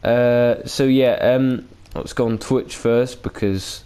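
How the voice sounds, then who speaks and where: A man gives casual, unscripted game commentary as a video host. His voice is clear and smooth at a middling pitch, and he talks slowly, rambling and hesitating as he goes. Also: fairly even intonation, dark tone.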